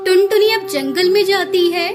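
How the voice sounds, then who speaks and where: A woman's voice: very high-pitched, normal speed, over music. A woman narrator reading a scripted children's story.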